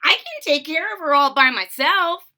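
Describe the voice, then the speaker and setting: An eager young boy frog from a storybook, acted out by a woman. The voice is bright and very animated, whining and exclaiming with impatience. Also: high voice, normal speed, voice pushed up, full character voice.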